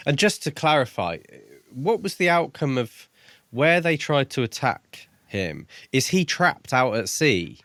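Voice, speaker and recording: A man's voice: medium pitch, big pitch swings, a little slow, a bit bright, no room sound. A man co-hosting a podcast, talking casually about books. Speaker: podcast co-host. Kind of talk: casual book discussion.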